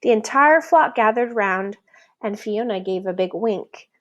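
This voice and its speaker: A woman reading a children's picture book aloud as an expressive, deliberate storyteller who leans on the rhymes. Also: mid-range voice, dull audio. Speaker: storybook reader.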